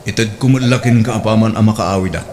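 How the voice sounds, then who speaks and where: An actor plays a smitten, hesitant working man in an Ilocano radio drama. His Ilocano-accented voice sits fairly low, over clearly noisy background ambience. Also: quick pace, natural voice. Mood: agreeable.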